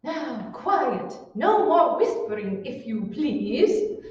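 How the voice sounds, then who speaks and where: A woman's voice: high voice, sing-song, slow pace, darkish tone, heavily acted. A woman playing a gushing, bossy society hostess in old-time radio style, theatrical and grandly over-enunciated. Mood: solemn, grandiose. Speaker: amateur stage actor. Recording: echoey room, distant mic, dull sound.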